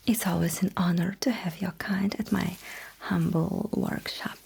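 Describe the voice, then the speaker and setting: Slow, whispering woman in sci-fi ASMR. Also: on the low side, fairly even intonation, a little mellow. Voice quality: breathy whisper. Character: courteous, dryly witty alien mecha technician. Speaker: ASMR performer. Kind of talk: ASMR roleplay as sci-fi battlesuit technician.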